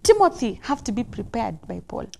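A woman's voice: fairly high pitch, sing-song, a little fast, vocal fry. A woman, hosting and interviewing a panel on a studio talk show. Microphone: lapel mic.

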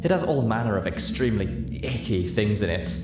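A man voicing an elegant, ladylike unicorn mare with a refined, gossipy, measured delivery in a story reading. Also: lowish voice, lively intonation, roomy sound, over loud music, lightly acted. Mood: confiding.

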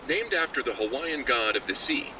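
A man voicing a formal radio guide, reading measured historical narration through a radio filter in a game. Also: mid-range voice, big pitch swings. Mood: formal, factual. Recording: noisy, distant radio broadcast.

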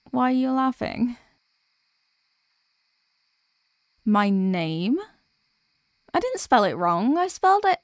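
Female performer as sweet workaholic girlfriend for ASMR roleplay. Highish voice, unhurried, soft, drowsy and stubborn, close and dry. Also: gravelly, no echo, heavily acted. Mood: playful, embarrassed.

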